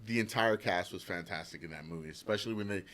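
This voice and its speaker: A man's voice: low voice, fast, fairly bright tone. A middle-aged man co-hosting a podcast, chatting casually in a plainspoken way. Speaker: podcast co-host. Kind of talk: casual chat.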